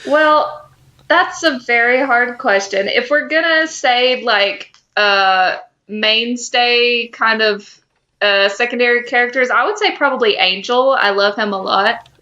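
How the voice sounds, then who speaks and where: Woman podcast host, unhurried n halting, bright voice, bit of a muffled lo-fi recording. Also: fairly high pitch. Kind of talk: casual banter about a TV show.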